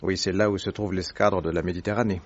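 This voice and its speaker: An actor playing a sharp, probing male detective in a vintage radio drama, asking crisp, pointed questions. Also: low voice, fairly even intonation, fast talker. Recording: dull lo-fi vintage radio recording.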